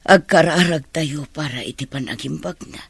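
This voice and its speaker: A woman's voice: sits low, normal speed, creaky, breathy edge, dry recording, full character voice. A woman with an Ilocano accent playing a caring, pious middle-aged mother in a Filipino radio drama. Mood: concerned.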